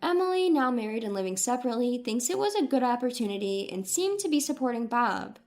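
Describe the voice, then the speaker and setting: A woman narrates a scripted first-person story in a high voice. Her delivery is dramatic and clearly read aloud. Faint music plays behind her. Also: big pitch swings, fast talker, a little mellow.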